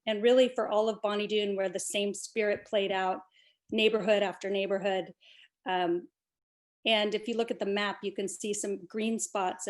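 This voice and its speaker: Earnest young woman on a video call, mid-pitched everyday voice, grateful presenter who says "um" a lot. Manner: earnest, many "um" fillers. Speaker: award presenter. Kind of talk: prepared presentation speech.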